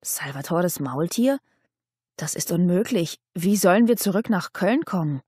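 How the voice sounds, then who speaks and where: A woman's voice: lowish voice, lively intonation, a little slow, a little mellow, husky, dry recording, clean studio sound. Woman acting a feisty, scornful young woman. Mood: indignant. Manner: blunt, scornful. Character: feisty, sharp-tongued young woman, indignant.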